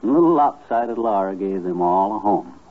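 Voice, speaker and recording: A man's voice: low voice, expressive, normal speed. An actor playing a kindly, folksy man telling stories to a child in homespun, hesitant speech, in 1950s radio comedy style. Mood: folksy, warm. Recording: muffled vintage 1950s radio recording.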